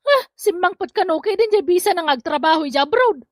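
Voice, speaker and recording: An actress as a very high-voiced village gossip in an Ilocano comedy drama. She is nosy and quick to take offence, swinging widely in pitch with an Ilocano accent. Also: expressive, dry recording, studio quality. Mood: gleeful, gossipy.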